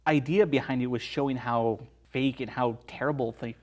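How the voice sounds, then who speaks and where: A man's voice: mid-range voice, fairly even intonation, fairly quick. A man as a podcast guest, casually discussing a film and explaining things in a conversational way.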